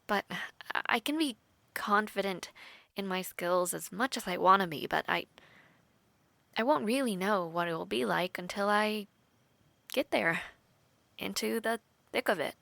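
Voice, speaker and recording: A woman voicing an earnest, affectionate young knight trainee in an intimate audio roleplay, talking softly, often half-whispered, with light laughs and hesitant restarts. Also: mid-range voice, measured pace, gravelly, long pauses, no echo. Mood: wistful.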